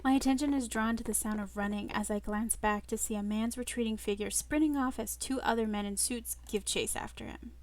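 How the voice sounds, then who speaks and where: A woman reading a first-person romance story aloud in an expressive, playful manner. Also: a little high, brisk, hardly any pauses. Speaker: visual novel reader. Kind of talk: first-person narration with live asides. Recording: loud music behind the voice. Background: loud music.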